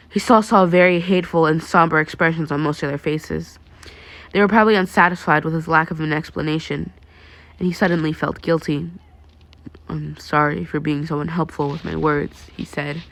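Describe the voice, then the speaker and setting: Low-voiced woman reading a story. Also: a bit bright. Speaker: fan fiction narrator. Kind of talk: amateur audiobook narration.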